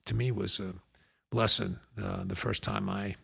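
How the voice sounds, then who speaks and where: An older man with a gravelly, husky voice, telling anecdotes casually as a podcast co-host. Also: deep voice, little pitch movement, measured pace, pushed and bright.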